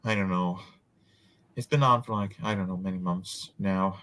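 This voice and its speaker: A man's voice: deep voice, fairly even intonation, moderate pace, pushed and bright. A man reading a book aloud with casual asides, sometimes stumbling over words and repeating them. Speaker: host of a group read-aloud.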